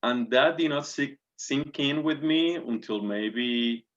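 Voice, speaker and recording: A man's voice: mid-range voice, slow, fairly bright tone. A man in his forties from Puerto Rico with a Puerto Rican Spanish accent, a guest answering interview questions earnestly and politely, with many fillers and restarts. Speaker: guest, navy officer. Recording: dull lo-fi audio.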